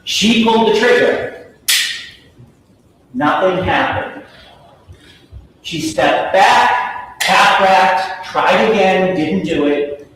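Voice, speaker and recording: Slow, emphatic male prosecutor in echoing courtroom. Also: sits high, fairly bright tone. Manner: emphatic, declarative, short repeated phrases. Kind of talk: courtroom closing argument. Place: large indoor courtroom. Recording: echoey courtroom from far off, faint noise.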